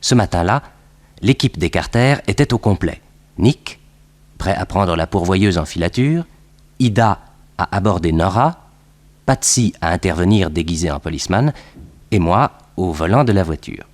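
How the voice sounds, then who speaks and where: An actor playing a detective's composed sidekick, recounting the case as narrator of a 1960s French radio crime serial. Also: male voice, low-pitched, lively intonation. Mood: composed narration. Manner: measured, storytelling.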